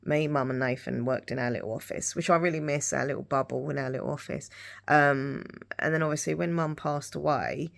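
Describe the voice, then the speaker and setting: A middle-aged woman chatting casually in a rambling vlog style, her voice husky and dry-throated from hay fever. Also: a bass voice, fairly even intonation, a little fast. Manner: rambling, repeats herself. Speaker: lifestyle vlogger. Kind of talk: casual rambling chat to camera.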